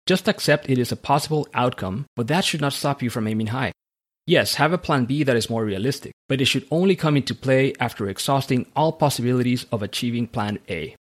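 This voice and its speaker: Earnest motivational podcast host, male. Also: medium pitch. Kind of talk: scripted monologue, then interviewing.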